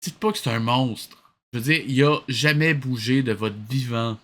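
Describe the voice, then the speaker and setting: A man with a Quebec French accent and a bright, forceful voice does casual reaction commentary. He is sarcastic and incredulous, teasing what he watches. Faint noise sits behind him. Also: mid-range voice, normal speed. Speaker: host commenting on an old cartoon.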